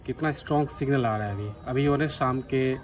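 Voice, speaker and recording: Guy from bihar chatting earnestly for a hobby vlog, middling pitch, some music in the background. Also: fast talker. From Bihar, India. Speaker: radio hobbyist vlogger. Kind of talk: casual vlog commentary. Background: music from a radio.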